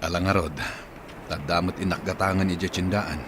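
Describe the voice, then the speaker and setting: An actor playing a male village captain stranded on an island, giving steady, plain instructions in a radio drama. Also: deep voice, a little fast, natural voice. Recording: noisy radio drama recording.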